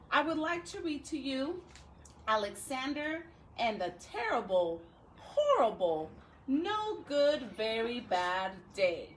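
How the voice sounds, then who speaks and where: A woman talking and reading aloud to young pupils as a school educator, with expressive, drawn-out phrasing. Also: fairly high pitch, a little hiss or hum, poor audio. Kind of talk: read-aloud for children with chatty intro.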